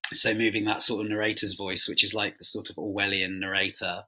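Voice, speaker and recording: Bright, low-pitched man, wry and casual. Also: flat delivery, brisk. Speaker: writer. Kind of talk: casual interview talk.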